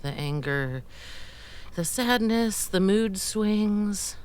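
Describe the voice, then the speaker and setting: A woman acting a caring, weary, stoical caregiver in naturalistic drama dialogue, gentle and measured, using endearments. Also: mid-range voice, expressive, clean tone.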